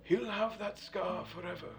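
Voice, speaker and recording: Brief: a man playing a wise old headmaster for a storybook reading, high and gravelly, gentle and wry, dull lo-fi sound. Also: fairly even intonation, measured pace, soft background music. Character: old wise male headmaster, calm and dry. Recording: dull lo-fi recording.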